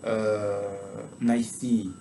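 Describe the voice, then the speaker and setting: A man in his twenties with an Angolan Portuguese accent, giving a conversion testimony as a sermon and speaking slowly and deliberately, at times with emphatic preaching. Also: fairly low pitch, little pitch movement, gravelly, warm tone, a little hiss or hum. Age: 20s.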